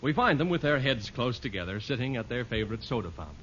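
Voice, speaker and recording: A man's voice: mid-range voice, very animated, quick pace, slight background noise. A man announcing a 1940s radio show in a polished, emphatic scripted style. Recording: muffled vintage radio broadcast. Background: loud music.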